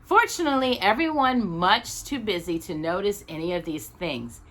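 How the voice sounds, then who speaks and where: Animated woman reading a children's story. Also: medium pitch, normal speed. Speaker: storytime host reading aloud. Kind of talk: expressive children's storybook narration.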